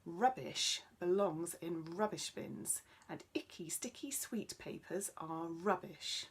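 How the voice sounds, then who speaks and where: A woman's voice: fairly low pitch, slow, slightly rough. A woman voicing a male talking magic tree in a children's story, wise and bossy yet kindly, with an instructive manner. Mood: stern. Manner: chanting rhymes, instructive.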